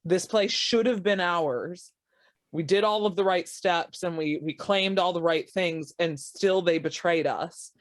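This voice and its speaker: A woman's voice: lowish voice, measured pace, no echo. A woman playing a tabletop roleplaying game, talking casually about her character in an emphatic, wry way. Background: music.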